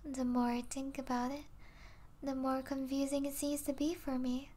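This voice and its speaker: A woman playing a possessive yet tender vampire princess in an intimate ASMR roleplay, speaking softly with regal, doting manners and breathy asides. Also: high voice, level tone, normal speed, lightly acted. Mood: tender, confused.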